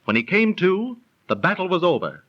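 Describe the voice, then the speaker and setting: An actor playing a knowledgeable, friendly dinner guest who explains and narrates in a measured, declamatory 1930s radio style. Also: male voice, sits high, big pitch swings, fairly bright tone. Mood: earnest storytelling. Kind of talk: 1930s radio acting, scripted storytelling. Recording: muffled, lo-fi 1930s radio recording.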